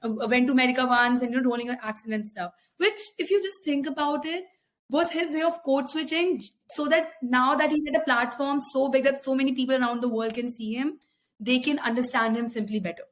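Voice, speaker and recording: A woman's voice: sits high, steady tone, a little fast, a little hiss or hum. A young woman with an Indian accent, co-hosting a casual podcast, talking hesitantly with many fillers. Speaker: podcast co-host.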